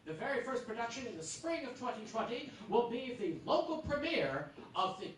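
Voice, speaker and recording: A woman makes live announcements from a theater stage with playful, theatrical emphasis. Her high voice is very animated in pitch. The recording is heavily echoed and noisy. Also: a little fast, far from the mic. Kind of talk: live promotional announcement to an audience.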